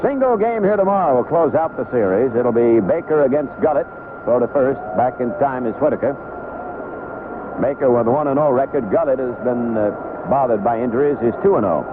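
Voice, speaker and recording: A man announcing baseball play-by-play on the radio in a conversational way, with clipped phrases between pauses. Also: medium pitch, expressive. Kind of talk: live play-by-play commentary. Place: broadcast booth at a baseball stadium. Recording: noisy lo-fi 1970s AM radio broadcast.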